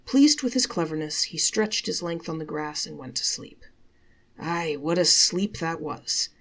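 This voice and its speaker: Creaky-voiced woman storyteller reading folktales. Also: low-pitched, animated, measured pace, fairly bright tone. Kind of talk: reading folktales aloud, expressive scripted narration.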